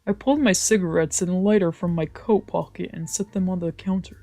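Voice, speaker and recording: A woman's voice: on the low side, steady tone, a little fast, mellow, no room sound, faint music behind. A woman reading scripted first-person visual novel narration aloud.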